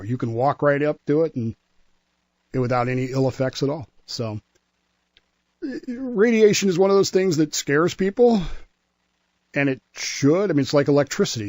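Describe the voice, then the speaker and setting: A man's voice: medium pitch, a little mellow, no room sound. Man explaining history, very dry close sound. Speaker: radio show guest historian; former nuclear submarine serviceman.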